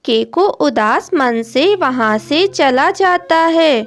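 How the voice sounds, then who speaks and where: Female storyteller for children's cartoons: high voice, measured slow reading, light music bed. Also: lively intonation. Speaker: narrator. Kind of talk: scripted children's story narration. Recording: music behind the voice.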